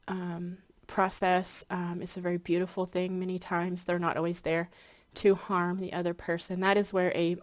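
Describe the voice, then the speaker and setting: Congested woman chatting casually to camera. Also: on the low side. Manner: rambling, many ums and restarts. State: congested, slightly scratchy voice. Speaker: spiritual hypnotherapy practitioner.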